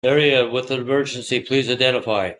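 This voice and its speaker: A man's voice: mid-range voice, fairly even intonation, brisk, a bit bright, a little hiss or hum, low-quality recording. A man speaking as a radio communicator in a scripted two-way training exchange.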